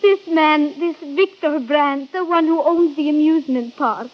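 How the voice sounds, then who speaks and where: A woman's voice: high voice, a little slow. An actress playing a defensive, earnest young immigrant woman in an old-time radio drama. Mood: earnest, pleading. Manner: earnest, foreign-phrased speech. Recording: muffled old radio recording.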